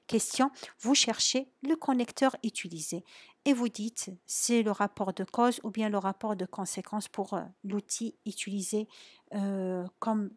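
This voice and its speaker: A woman's voice: medium pitch, no room sound. A woman teaching a French grammar lesson. She asks her students questions and answers them herself, stressing the key words. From Algeria.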